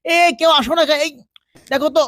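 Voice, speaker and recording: A man's voice: very high-pitched, steady tone, brisk, bright, forceful tone, dry recording, clean studio sound. A man with a northern Bangladeshi accent voicing a bumbling, chattering hangman in a comic game, through a voice changer. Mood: panicked. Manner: chattering, exclaiming, repetitive. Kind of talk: comic dubbed game roleplay in dialect.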